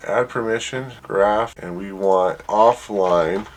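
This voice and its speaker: A man presenting an informal software tutorial, thinking aloud as he works through each step. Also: lowish voice, slow pace. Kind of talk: casual screen-share walkthrough.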